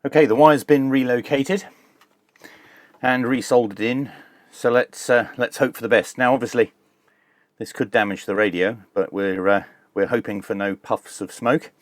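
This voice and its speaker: A man hosting a hands-on tech demonstration, explaining each step in a measured, informal way. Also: mid-range voice, dry recording. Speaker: host of a radio tech review channel.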